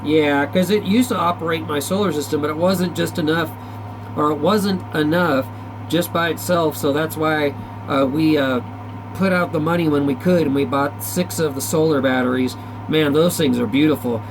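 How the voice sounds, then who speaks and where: A man's voice: a little high, fairly even intonation, normal speed, background noise. A man chatting casually as a CB radio operator, in a folksy, slangy, rambling way. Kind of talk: casual chat over CB radio. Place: back-room radio shack.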